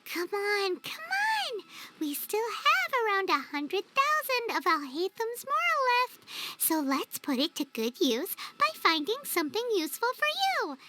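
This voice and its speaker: A woman voicing a small, excitable, childlike fairy companion in a video game, chatty and naive, with a squeaky voice. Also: a little slow, very noisy, exaggerated voice. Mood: excited, persuading. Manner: refers to self in third person, exclaiming, wheedling. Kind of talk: video game cutscene acting. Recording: music under the voice.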